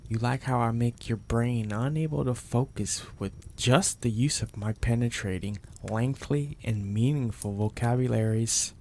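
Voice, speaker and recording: A man playing a possessive, domineering mafia boss in an intimate ASMR roleplay, speaking deliberately and with teasing menace in a gravelly, husky, breathy voice. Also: medium pitch, darkish tone. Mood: seductive, smug. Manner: slow, deliberate, menacing, florid wording.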